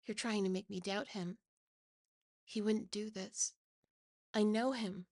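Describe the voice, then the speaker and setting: A woman's voice: mid-range voice, steady tone, takes it slowly, darkish tone, dry recording, clean studio sound, voice pushed up. A woman voicing a young, insecure monster girlfriend, pleading and defensive with a trembling delivery, for a horror ASMR story. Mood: frantic denial.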